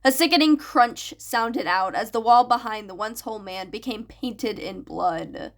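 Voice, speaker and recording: A woman's voice: on the high side, unhurried, fairly bright tone. A woman reading a story aloud as a scripted audiobook narration. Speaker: fan fiction narrator.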